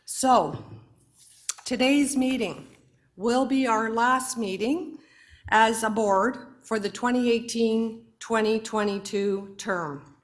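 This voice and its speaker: A hoarse woman chairing a formal board meeting in a council chamber, measured and procedural, with occasional fillers. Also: on the high side, fairly even intonation.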